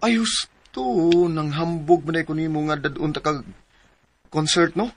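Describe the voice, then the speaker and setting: An actor playing a smooth-talking young man in a radio drama, playful and cajoling, with a Cebuano Filipino accent. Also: a little high, measured pace, no room sound. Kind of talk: Philippine radio drama acting.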